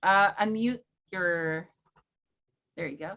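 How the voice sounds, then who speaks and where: A woman hosting a casual online networking call, introducing members in a halting way with many fillers. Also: on the low side, fairly bright tone, a little hiss or hum. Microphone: video call. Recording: dull lo-fi call audio.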